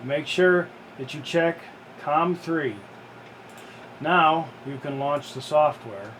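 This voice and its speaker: Slow-talking man over steady room hum. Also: a little high. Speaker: unboxing video presenter. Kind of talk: casual how-to narration.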